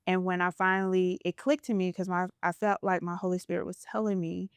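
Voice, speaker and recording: A woman's voice: fairly low pitch, level tone, brisk, clean tone, dry recording, studio quality. A woman podcast host talking in an earnest, reflective way as she shares a spiritual story in a casual interview.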